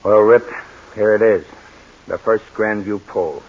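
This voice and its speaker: An actor playing a practical, coaxing sidekick in a 1940s radio drama. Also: male voice, lowish voice, measured pace. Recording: lo-fi 1940s radio broadcast with hiss.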